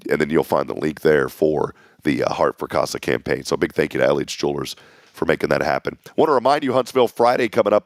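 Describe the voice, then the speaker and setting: A man hosting a local morning show, upbeat and folksy, his voice going hoarse. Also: deep voice, dry recording, clean studio sound. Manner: folksy, upbeat community announcer. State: losing his voice. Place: small local TV studio.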